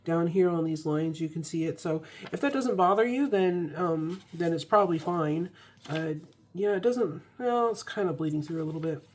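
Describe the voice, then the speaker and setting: A woman's voice: lowish voice, moderate pace, darkish tone, a little hiss or hum. A young woman recovering from a cold, chatting casually with ums and restarts as she reviews an ink. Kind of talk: casual product review vlog.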